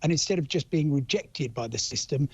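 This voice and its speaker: A British man speaking as a travel reporter in a live news interview, explaining in a halting, understated way. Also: fairly high pitch, slightly rough, dry recording. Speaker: travel journalist reporting as guest expert. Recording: dull lo-fi link.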